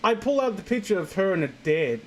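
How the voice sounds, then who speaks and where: A woman's voice: mid-range voice, big pitch swings, fairly quick, darkish tone. A woman hosting a casual comedic reading, joking crudely and irreverently as she riffs with friends. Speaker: host of a story-reading show.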